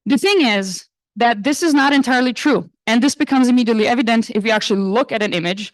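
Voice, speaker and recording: A young woman from Croatia, a postdoc, giving a conference lecture in a relaxed, casual manner with frequent fillers. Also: on the high side, quick pace, no echo. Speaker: astronomy lecturer. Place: lecture hall.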